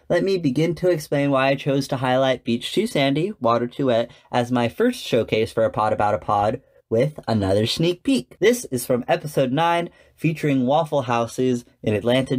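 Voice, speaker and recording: A man's voice: medium pitch, darkish tone. Chatty male podcast host. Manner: chatty, enthusiastic fan talk. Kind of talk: scripted solo podcast commentary with casual asides.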